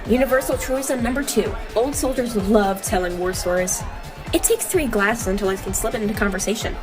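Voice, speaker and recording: A woman voicing an earnest, determined robot heroine in an amateur comic dub, narrating in a reflective, measured way. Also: medium pitch, expressive, close to an everyday voice. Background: clear music bed.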